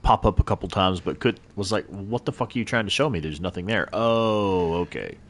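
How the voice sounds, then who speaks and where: A man's voice: fairly low pitch, a little fast, a little hiss or hum. Laid-back man playing a game on stream. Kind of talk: casual let's-play chat.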